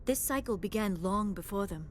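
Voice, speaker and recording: Sci-fi game: actress as youthful female alien researcher, medium pitch, formal, precise, earnest, faint rumble behind. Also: lively intonation, normal speed, natural voice. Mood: earnest, intent. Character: earnest, polite young female alien scholar. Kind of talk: video game dialogue acting.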